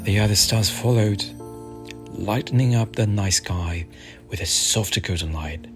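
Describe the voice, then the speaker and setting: A man softly narrating a soothing ASMR bedtime story in a gentle, unhurried, hushed manner over music. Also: on the low side. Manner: gentle, hushed, unhurried, at times near a whisper. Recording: music behind the voice.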